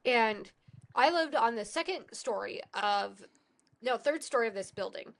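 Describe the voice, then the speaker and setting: A woman's voice: medium pitch, pushed and bright. A young woman co-hosting a podcast, a little congested and sniffly, telling a rambling story. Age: young adult. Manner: rambling, self-correcting storyteller. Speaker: podcast co-host. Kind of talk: casual chat, storytelling.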